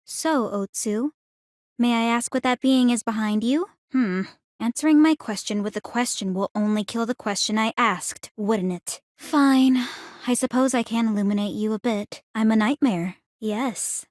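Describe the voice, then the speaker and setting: An actress voicing a calm, clinically curious young woman in a video game, speaking in a formal, measured, coolly teasing way. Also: a little high, no room sound, studio quality, close to an everyday voice. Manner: composed, measured, formal, inquisitive. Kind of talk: scripted video game character voice lines.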